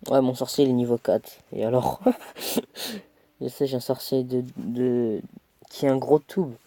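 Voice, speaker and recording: A man's voice: medium pitch, steady tone, a little slow, warm tone. A young man with a cold, casually commentating his own mobile game match, chatty and self-mocking, muttering as he plays. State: stuffy with a cold.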